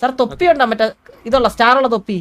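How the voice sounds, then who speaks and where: A man's voice: very high voice, fairly even intonation, very fast, fairly bright tone. A man with a Malayali accent playing an eager, pleading, bumbling police cadet in comic game roleplay, deferential and repeating himself.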